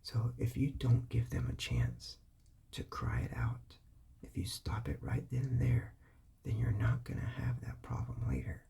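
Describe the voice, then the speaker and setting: Whispering gravelly man giving advice. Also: medium pitch, measured pace. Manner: calm, patient, repeats his points. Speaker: parent giving parenting advice.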